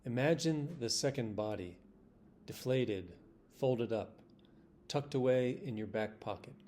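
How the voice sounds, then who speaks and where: A man's voice: medium pitch, a little slow, warm tone. A man reads poetry in a mid-range voice, phrase by phrase. A faint wash of ambient noise sits behind him. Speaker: poet reading own work. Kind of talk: poetry reading.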